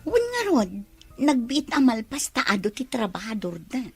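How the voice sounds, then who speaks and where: A boastful young Filipina dreamer in a radio drama. Her pert voice is very high and highly animated, recorded close and dry. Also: moderate pace, breathy edge, no room sound, faint music behind, full character voice. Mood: dreamy. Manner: pert, exclamatory. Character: dreamy, boastful young woman set on marrying well.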